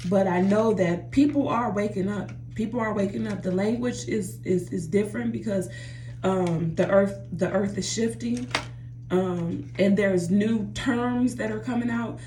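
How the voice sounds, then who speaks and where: A woman's voice: mid-range voice, unhurried, dark tone, echoey room, a little hiss or hum. A woman talking earnestly to her viewers in a personal vlog from her bathroom, often repeating herself and calling them "y'all". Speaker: vlogger. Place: home bathroom by a running jacuzzi.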